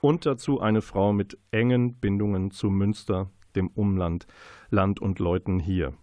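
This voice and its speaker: A man hosting a radio book show, presenting reviews and interviews in a deliberate, wordy, playful announcer manner. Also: lowish voice, steady tone, warm tone, dry recording.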